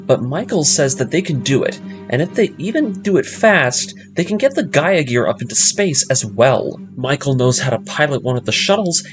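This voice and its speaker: A man narrating an episode-by-episode story breakdown as host, moving between scripted recap and casual commentary. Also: a little high.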